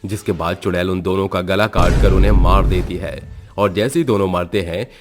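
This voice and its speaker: Low deep guy narrating a horror tale, faint music under it. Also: fairly even intonation, normal speed. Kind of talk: scripted horror story narration.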